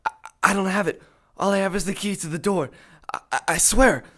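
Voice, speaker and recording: A woman's voice: mid-range voice, a little fast, natural voice. Terrified stammering captive acolyte, voiced by a woman, begging for his life. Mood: pleading. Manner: stammering, pleading.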